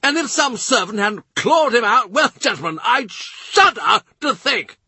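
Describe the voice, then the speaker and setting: A man voicing a panicky, lecturing older nobleman who speaks in excited, emphatic bursts. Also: very high voice, measured pace, slightly rough, dry recording, pitched above the performer's own voice, exaggerated voice. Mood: agitated, hectoring. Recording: dry close recording.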